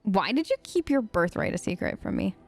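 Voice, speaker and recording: A woman's voice: medium pitch, big pitch swings, fairly quick, faint music behind. A woman let's-player improvising casual role-play replies in a chatty, rambling, playful way.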